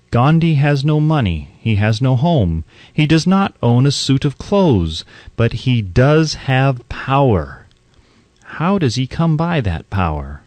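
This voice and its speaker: A man reading scripted nonfiction as an audiobook narrator, in measured, emphatic, declamatory phrasing. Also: medium pitch, darkish tone, no room sound.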